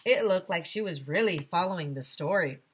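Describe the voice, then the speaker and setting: A woman teacher giving an expressive children's read-aloud, explaining things clearly with asides for young listeners. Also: lowish voice, moderate pace, a little hiss or hum. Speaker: teacher reading aloud to pupils.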